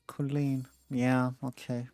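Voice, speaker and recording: A woman's voice: very deep voice, level tone, takes it slowly, dark tone. A woman giving casual gameplay commentary in muttered, offhand remarks. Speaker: gaming streamer.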